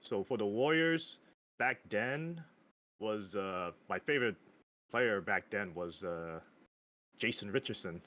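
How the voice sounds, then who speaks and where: Thirties guy, podcast guest, unhurried delivery, bright edge to the tone, halting and giggly. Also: fairly low pitch, expressive. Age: 30s. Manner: slow, hesitant, laughs often. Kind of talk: casual chat.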